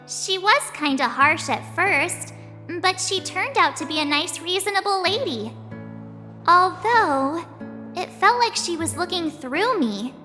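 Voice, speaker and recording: A woman's voice: very high voice, animated, a little slow, bright, forceful tone, big-room sound, faint music behind, heavily acted. An actress playing a bubbly, cheeky teenage adventurer girl in a video game, with a breezy, slangy, dramatic delivery. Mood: breezy, defensive. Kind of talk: English video game voice acting.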